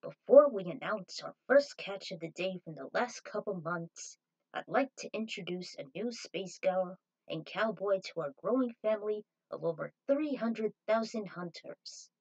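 A woman's voice: medium pitch, animated, measured pace, darkish tone, muffled recording. A woman voicing a showy announcer of a TV bounty show in a story. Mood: proud. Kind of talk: TV presenter patter.

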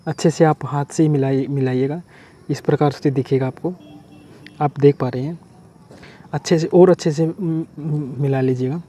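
A man's voice: a little high, mellow. A man hosting a fishing channel, chatting his way through a bait-making demonstration outdoors by a river, and he keeps addressing his viewers as friends. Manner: chatty and repetitive, often says "friends". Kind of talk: casual how-to demonstration.

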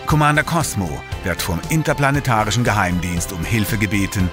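Male narrator, lowish voice, scripted sci-fi story recap, dramatic, music underneath. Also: a little fast.

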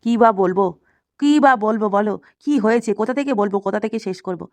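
A woman's voice: medium pitch, quick pace, a little mellow, lightly acted. A woman voicing a self-pitying, testy old village man who grumbles repetitively in a comedy cartoon, with faint noise. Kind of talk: comic cartoon dialogue.

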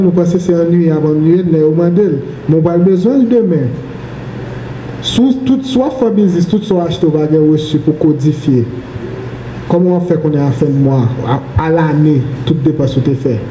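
A middle-aged man giving a business training seminar on a handheld mic, animated and often checking in with the room. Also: a little high, brisk. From Haiti. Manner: animated, gestures while speaking, asks the room questions. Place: medium-sized indoor training room. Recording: echoey room, faint background noise.

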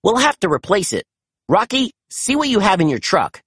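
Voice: medium pitch, sing-song, moderate pace, a bit bright, slightly rough, dry recording, clean studio sound, pitched above the performer's own voice. A storybook narrator voicing a confident boy team leader who gives earnest, reassuring commands. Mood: urgent.

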